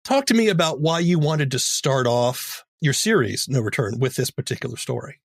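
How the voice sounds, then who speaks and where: A man's voice: medium pitch, lively intonation, moderate pace, vocal fry, slight background noise. A man acting as interviewer, asking questions and explaining stories in a conversational way.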